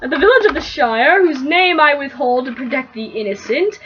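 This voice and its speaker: A young woman, giggly, excitable and playful, chatting casually and reading aloud in an amateur way. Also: sits high, brisk. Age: young adult. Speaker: co-host reading fan fiction aloud. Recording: muffled, noisy lo-fi home recording.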